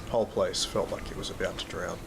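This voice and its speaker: An actor plays a weary Australian dad with a low voice, telling his own grim story in an audio drama. He sounds troubled and narrates in the first person over loud noise and rain. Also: fairly even intonation, fast talker, close to an everyday voice. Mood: weary, brooding. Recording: very noisy track, rain and storm ambience.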